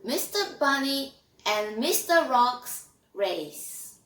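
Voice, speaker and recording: A woman reading aloud and teaching as a children's storyteller, phrasing carefully and deliberately in short chunks. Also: high-pitched, big pitch swings, a bit bright, poor audio.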